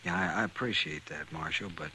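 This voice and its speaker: Resigned western newcomer, very low pitch, measured, polite, fatalistic, 1950s radio drama with faint noise. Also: male voice, sharp tone, exaggerated voice. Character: resigned, ailing newcomer out West, quietly determined.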